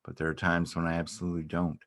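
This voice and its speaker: A man's voice: sits low, completely flat delivery, quick pace, fairly bright tone. A man playing an anxious, caring partner of an ill woman in a dramatic stage reading, earnest and questioning. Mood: earnest, hesitant.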